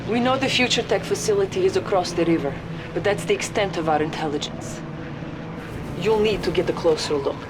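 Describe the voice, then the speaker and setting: A woman's voice: on the low side, fairly quick, natural voice. Woman as proud Soviet commander, commanding, noisy game soundtrack. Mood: urgent. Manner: stern, commanding, patriotic. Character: fierce Soviet woman officer, proud and vengeful. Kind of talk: game cutscene acting.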